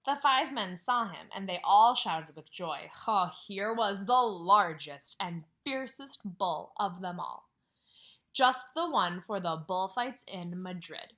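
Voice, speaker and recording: A kindergarten teacher reads a picture book aloud to her class, her woman's voice bright and clear. Her pitch swings up and down as she acts out the story. She keeps breaking off to ask the children questions and sometimes drops to a whisper. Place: at home, near a couch.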